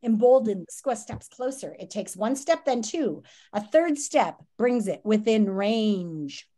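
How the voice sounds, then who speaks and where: A woman's voice: mid-range voice, expressive, unhurried, warm tone. A woman reads a children's nonfiction book aloud like a teacher, expressively and dramatically, with asides and questions to her listeners. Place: small room at home.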